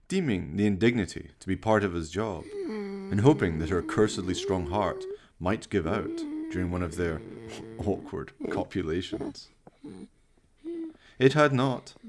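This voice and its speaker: A man playing a sinister, courtly fireside storyteller, narrating a horror radio play with slow, mock-polite, menacing theatricality. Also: fairly low pitch, full character voice.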